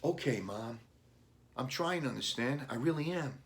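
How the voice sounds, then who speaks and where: A man voicing an unhappy young boy, raised pitch. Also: animated, moderate pace, close to an everyday voice. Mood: sad, resigned. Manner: plaintive, earnest. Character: unhappy, pleading ten-year-old boy. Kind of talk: children's storybook reading.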